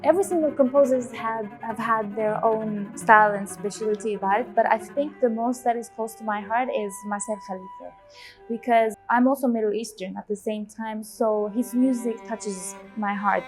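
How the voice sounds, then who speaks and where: A woman in her twenties, born in Syria, a cellist and teacher speaking in a relaxed interview, with many pauses and fillers. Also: a little high. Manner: hesitant, many fillers, warm about music. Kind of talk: relaxed personal interview answers.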